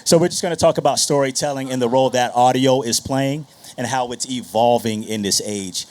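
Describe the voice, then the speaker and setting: A man's voice: medium pitch, fairly quick, a little mellow. A man speaking informally and conversationally to an audience as a panel moderator on stage. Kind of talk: casual stage introduction of a panel. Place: on stage at a live panel session.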